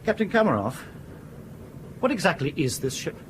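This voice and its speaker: A man's voice: a little high, big pitch swings, normal speed, slight background noise. A man playing a polite but insistent, frustrated airline captain in a radio drama, sceptical and questioning. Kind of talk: broadcast radio drama acting.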